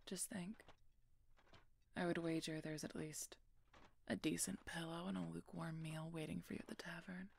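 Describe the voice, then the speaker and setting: A woman playing a gruff, protective female werewolf knight in a roleplay audio drama, teasing and stern as she speaks to the listener. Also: low voice, a little hiss or hum. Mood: dry, guarded. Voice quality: husky, gravelly.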